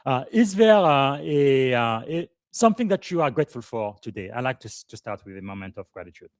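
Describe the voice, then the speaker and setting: A man's voice: mid-range voice, very animated, a little fast, no echo, studio quality. A man hosting a live leadership podcast, giving long formal introductions broken up by frequent "uh" fillers. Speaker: podcast host and leadership coach.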